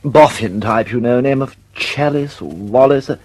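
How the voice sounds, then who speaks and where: A man's voice: medium pitch, measured pace, darkish tone, lightly acted. An actor playing a flustered, condescending male government official with an upper-class English accent in a radio drama. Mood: breezy, jovial. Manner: dithering, breezy, condescending. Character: flustered, dismissive, chummy upper-class male British bureaucrat.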